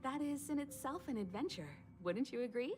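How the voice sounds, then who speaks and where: A poised, wise game navigator, with a fairly high, expressive voice. She speaks formally and musingly, with music clearly playing behind her. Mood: gracious, reflective. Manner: measured, formal, gently musing. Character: composed, wise adult woman navigator, gracious and knowing. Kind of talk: video game voice acting. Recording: game dialogue over music.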